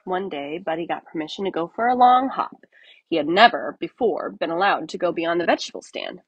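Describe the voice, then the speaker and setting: A woman narrates a children's story with lively, swinging intonation. Her voice is slightly breathy and husky, recorded dry and close. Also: fairly low pitch, brisk, no echo, dull audio. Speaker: storybook reader. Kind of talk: expressive children's read-aloud narration.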